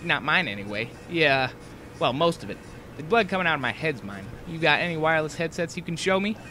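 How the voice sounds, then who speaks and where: Cocky young cartoon braggart, male actor: motormouth, animated swings, higher voice. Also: fairly quick, a little hiss or hum. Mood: cocky, showing off.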